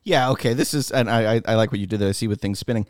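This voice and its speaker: A man's voice: on the low side, rapid-fire, no echo, clean studio sound. A middle-aged man co-hosting a podcast, chatting casually and emphatically about sports and culture. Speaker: podcast co-host.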